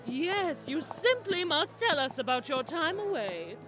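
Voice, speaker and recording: High, catty society gossip. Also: female voice, sing-song, unhurried, a bit bright, soft background music, heavily acted. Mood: eager. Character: catty, gossipy female society party guest. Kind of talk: audio drama acting.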